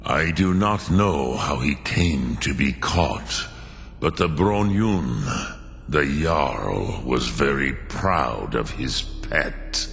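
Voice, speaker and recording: An actor voicing an ancient, solemn male dragon in a game, with weighty, deliberate delivery. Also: rumbling low, expressive, creaky, fairly bright tone, soft background music, heavily acted. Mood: solemn, grave. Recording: long cavernous reverb.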